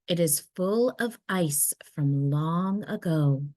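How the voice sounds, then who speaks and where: A woman voicing a gentle, reassuring mother polar bear from a storybook, soothing and unhurried. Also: low-pitched, expressive, no echo, clean studio sound. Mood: calm, reassuring.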